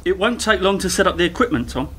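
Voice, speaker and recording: A man's voice: high voice, lively intonation, quick pace, a bit bright, slight background noise. An actor in a radio play, playing a mild young male accomplice who talks in an earnest, deferential way.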